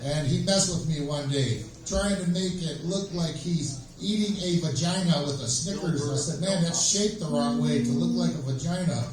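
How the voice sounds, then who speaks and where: A man doing an amateur stand-up set on stage, telling jokes in a halting, rambling way. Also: on the high side, a little mellow. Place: small live comedy venue, stage. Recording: echoey room, distant microphone.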